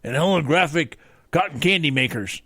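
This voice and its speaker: A man's voice: fairly high pitch, animated, normal speed, sharp tone, dry recording. A man playing a boastful old-west handyman rival in a comedy radio skit, talking in a folksy, competitive way. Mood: competitive boasting.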